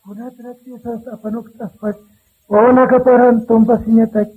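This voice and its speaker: A man's voice: very high-pitched, level tone, moderate pace, husky. A man playing a mourning believer in a radio drama, lamenting in a wail, on a narrow, muffled recording. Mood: mournful.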